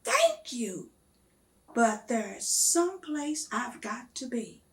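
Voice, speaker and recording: A woman's voice: fairly high pitch, huge pitch swings, takes it slowly, distant mic, full character voice. A woman voicing an eager, determined nine-year-old boy in a storybook, polite and earnest. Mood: polite, hurried.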